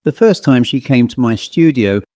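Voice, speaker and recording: Mellow male ghost story narrator. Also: medium pitch, animated, moderate pace, no room sound. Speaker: audiobook narrator.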